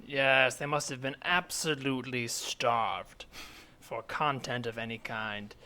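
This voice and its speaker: A man playing an excitable, pompous scholar from the far future in improvised comedy, gushing and halting in a mock-learned way. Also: mid-range voice. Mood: amused, smug.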